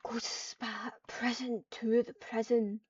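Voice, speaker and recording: A woman's voice: fairly high pitch, flat delivery, slow, slight background noise. A woman voicing a hostile, distrustful wild cat in an online roleplay, with an accusing, snappish manner. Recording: muffled, distant microphone.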